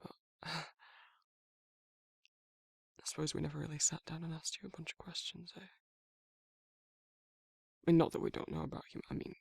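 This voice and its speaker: A man playing a shy, protective werewolf in a romantic ASMR roleplay, speaking haltingly and reassuringly in a gravelly, husky voice. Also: a little high, flat delivery, dry recording, heavily acted. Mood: reassuring, teasing. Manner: halting, awkward, softly reassuring. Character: shy, protective, tender young male werewolf in love. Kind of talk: romantic ASMR audio roleplay, intimate and conversational.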